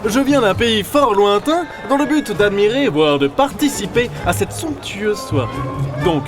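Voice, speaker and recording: A man acts out a pompous foreign duke for an audio drama, pitched high and swinging widely. His delivery is affected, drawn-out and grandiloquent, over a loud music bed. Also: animated. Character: nervous young male thief posing as a pompous foreign duke. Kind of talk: French audio drama acting.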